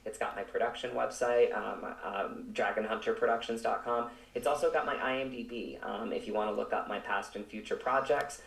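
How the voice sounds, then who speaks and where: Low-voiced man, roomy echo. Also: creaky. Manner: earnest, halting, repeats words while searching for phrasing. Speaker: actor, podcast guest. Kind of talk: reflective interview talk. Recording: roomy, echoing, distant from mic.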